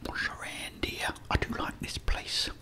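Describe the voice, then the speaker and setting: A middle-aged man whispering breathy ASMR commentary as he plays a video game, chatting playfully to the characters. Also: a little slow.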